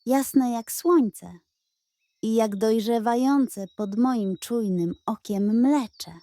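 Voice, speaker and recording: A woman voicing a cheeky, greedy male pug dog in a children's story, wheedling, excitable and dramatic. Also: on the high side, drawn-out words, dry recording.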